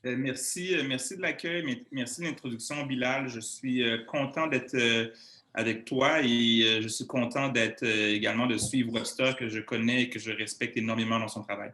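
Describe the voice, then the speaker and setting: A man in his thirties with a Québécois accent speaks at a middle pitch, taking his time. He stumbles over words and restarts his sentences often. His voice carries a noticeable room echo. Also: steady tone, normal speed, pushed and bright. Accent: Québécois French. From Montreal, Canada. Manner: hesitant, many fillers and restarts. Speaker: guest speaker. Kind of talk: conversational interview answer.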